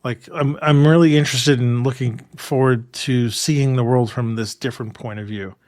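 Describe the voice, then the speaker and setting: A man from New York co-hosting a photography podcast, chatting in a relaxed, rambling way with many restarts and laughs. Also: mid-range voice, moderate pace, dry recording, clean studio sound. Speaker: podcast co-host. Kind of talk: casual chat.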